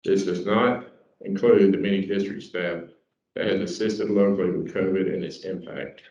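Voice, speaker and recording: Deep-voiced man, dull echoey sound. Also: steady tone, normal speed, a little mellow, a little hiss or hum. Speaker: club member introducing speakers. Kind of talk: reading an introduction, then fixing the mic. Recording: dull, echoey, far from mic.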